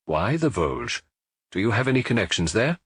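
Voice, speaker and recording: A man voicing a calm male police inspector who asks measured, probing questions. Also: on the low side, slightly rough, dry recording, studio quality, exaggerated voice.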